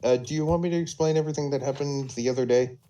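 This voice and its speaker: Male player-storyteller, dark soft tone, halting casual delivery in group chat. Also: mid-range voice. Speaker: role-play server player recounting the story.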